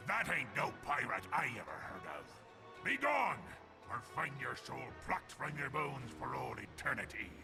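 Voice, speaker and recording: Gruff theatrical old pirate for a game; archaic speech, wildly swooping delivery, music underneath. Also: male voice, medium pitch, very animated, unhurried. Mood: menacing.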